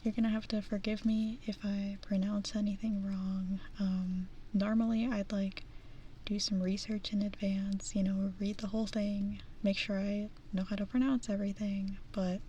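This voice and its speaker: A woman from the Midwest, an ASMR streamer, reading aloud in a soft whisper and making self-deprecating asides. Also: medium pitch, flat delivery, normal speed, dull audio, a little hiss or hum. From American Midwest.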